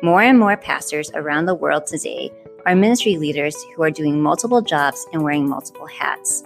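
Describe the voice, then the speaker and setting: A woman reading a scripted podcast introduction in a steady, declarative way. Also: low voice, normal speed, creaky. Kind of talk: scripted narration. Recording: music faint behind.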